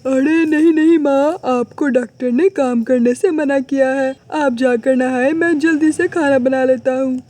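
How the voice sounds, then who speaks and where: Woman voicing an earnest, mellow young son. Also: high-pitched, steady tone, unhurried, few pauses. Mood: earnest, caring. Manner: earnest, dutiful, polite. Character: devoted, hardworking, poor young son selling momos. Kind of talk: cartoon moral story acting.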